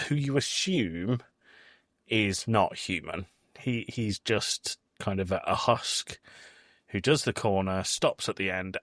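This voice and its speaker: Low-voiced man, casual podcast host. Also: a bit bright, dry recording, studio quality. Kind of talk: casual film discussion recapping scenes.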